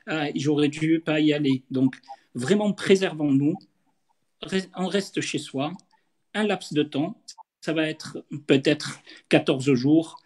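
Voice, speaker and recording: A man with a husky, fairly high voice speaks as a doctor answering an interviewer. He talks earnestly, turning to the audience with direct appeals to be careful. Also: darkish tone. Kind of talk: interview guest giving health advice.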